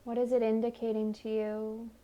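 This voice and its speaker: A woman leading a guided meditation in a soothing, gentle manner, offering unhurried invitations. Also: a little high, monotone, muffled recording. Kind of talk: guided meditation, body scan narration.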